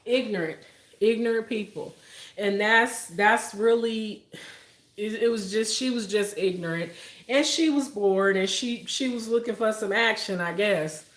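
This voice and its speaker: A young woman, telling a story to her viewers in a casual, animated way. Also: measured pace, reverberant. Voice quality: deep voice for a woman. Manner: animated, laughing, talks straight to viewers. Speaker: vlogger.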